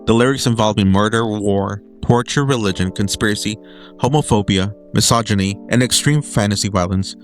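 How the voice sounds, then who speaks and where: A man's voice: fairly low pitch, brisk, faint music behind. A man delivering scripted video essay narration as a documentary narrator, with emphatic lists and dramatic short phrases.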